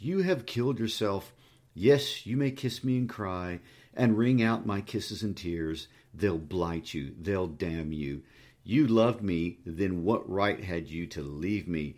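A man's voice: low voice, a little mellow. A man voicing a tormented, savage and despairing lover in a dramatic novel reading, emphatic and accusing.

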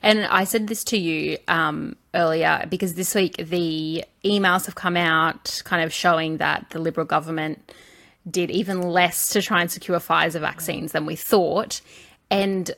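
Blunt Australian woman, low-pitched. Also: steady tone, unhurried, gravelly, a bit bright. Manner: blunt, swears, laughs easily. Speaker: podcast co-host. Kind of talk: casual chat.

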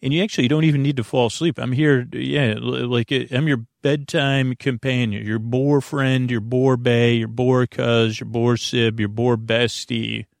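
A man with a gravelly, creaky voice, recorded very dry and close-sounding. He talks as a bedtime podcast host, drifting through halting, folksy tangents at a middling pitch. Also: fairly even intonation, dry recording. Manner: meandering, halting, digressive, folksy. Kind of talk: rambling bedtime monologue.

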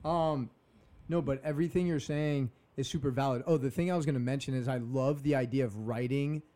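A man's voice: mid-range voice, fairly even intonation, a little fast, dark tone. A young man hosting a podcast, talking in a casual, upbeat and motivational way with some slang. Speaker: podcast host. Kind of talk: casual conversation.

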